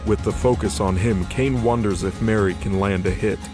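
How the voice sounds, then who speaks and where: Low-voiced male drama narrator. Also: moderate pace, over music.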